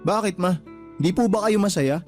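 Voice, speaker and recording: Lalaking gumaganap na mapag-alalang anak, mataas ang boses. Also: male voice, high-pitched, normal speed, a little mellow, faint music behind, close to an everyday voice. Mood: teasing, curious. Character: protective, concerned young adult son, teasing and excitable. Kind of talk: radio drama dialogue.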